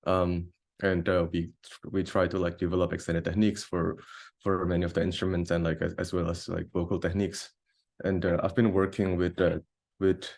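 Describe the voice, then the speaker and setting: A man's voice: low-pitched, darkish tone. A young man from Indonesia, a composer and grad student, introducing himself casually on a video call with many fillers and restarts. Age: young adult.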